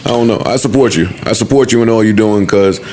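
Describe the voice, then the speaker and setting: A man with a lowish voice holds forth as a podcast host. He speaks casually but emphatically and repeats phrases for effect, with audible noise around him. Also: fast talker, a little mellow. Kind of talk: casual opinionated podcast talk.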